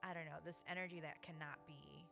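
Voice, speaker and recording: Woman co-host, slightly low voice, effusive and affirming, thinks aloud and rephrases, music under the talk. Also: fairly even intonation, fairly quick, creaky. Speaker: podcast co-host. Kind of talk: casual, reflective conversation.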